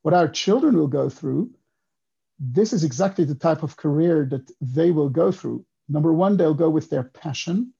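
A man in his fifties from Switzerland speaks as a podcast guest, with a somewhat higher voice for a man and a gravelly, husky edge. His tone is dark and mellow, and he tells his story in a reflective, unhurried way. The sound is dull and lo-fi, like a remote call. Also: lively intonation. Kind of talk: casual interview talk.

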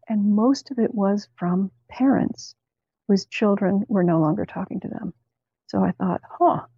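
A woman speaking as an interview guest, reflective and measured as she tells her own story. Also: mid-range voice, vocal fry, husky, no room sound, dull audio. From Canada. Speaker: therapist and writer, interview guest. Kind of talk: conversational interview, telling personal story.

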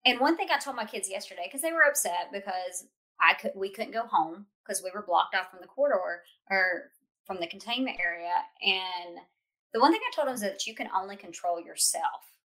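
A woman's voice: mid-range voice, lively intonation, brisk, sharp tone, rough recording. A woman hosting a casual interview, a mother who asks reflective, encouraging questions. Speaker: host.

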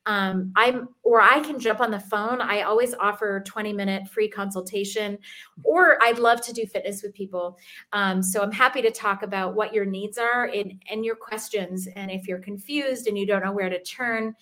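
A woman's voice: mid-range voice, steady tone, normal speed, pushed and bright. A middle-aged woman, a fitness expert, speaking as an interview guest in an earnest, chatty way with frequent ums and restarts. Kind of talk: casual interview talk.

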